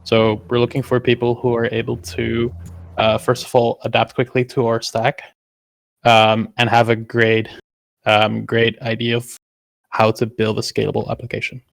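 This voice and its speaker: A man's voice: medium pitch, completely flat delivery, normal speed, vocal fry. A man from the Netherlands answering technical questions in a casual, hesitant way with many uhs. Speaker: tech lead answering questions.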